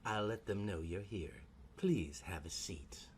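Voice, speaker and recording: A man's voice: low-pitched, a little slow, slight background noise, voice pushed down. A man voicing a severe, condescending woman receptionist in a comic audio story, curt and cold. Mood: cold, haughty.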